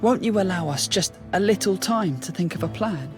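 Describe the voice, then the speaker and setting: Young game warrior: higher male voice, polite, reflective, questioning, music behind. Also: animated, normal speed. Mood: sincere. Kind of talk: video game cutscene acting.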